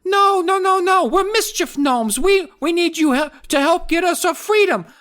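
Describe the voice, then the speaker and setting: A woman's voice: extremely high pitch, animated, normal speed, fairly bright tone, pitched above the performer's own voice. A woman voicing a male mischief gnome who keeps insisting, over and over, that he means no harm. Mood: pleading.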